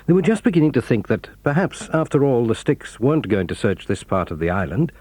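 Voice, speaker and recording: A man narrating a storybook in a measured, suspenseful way. His tone swings with the story. He sounds dry and close, with no room around him. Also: medium pitch, lively intonation, darkish tone, husky, no room sound.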